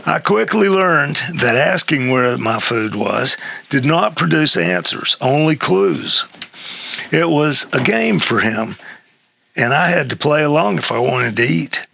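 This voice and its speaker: An older man reading a humorous memoir essay aloud in a halting way, with a gravelly, husky voice. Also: fairly high pitch, expressive, sharp tone. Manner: halting read-aloud with stumbles and chuckles. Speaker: reader of an essay excerpt.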